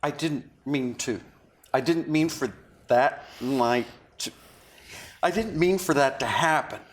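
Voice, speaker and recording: An actor at a table read playing a remorseful, philosophizing man, with a halting, confessional and earnest manner. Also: mid-range voice, lightly acted. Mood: apologetic. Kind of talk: read-through of a stage drama script. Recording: clearly noisy room recording.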